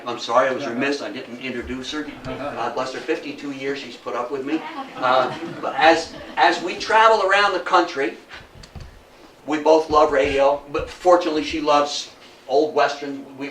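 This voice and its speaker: A man's voice: medium pitch, fairly bright tone. A man in his seventies giving a public history lecture in an emphatic storytelling manner, with rhetorical questions. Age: 70s. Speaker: guest lecturer on radio history. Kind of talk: public history talk to a live audience. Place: indoor room at a public talk. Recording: echoey room, distant mic, faint noise.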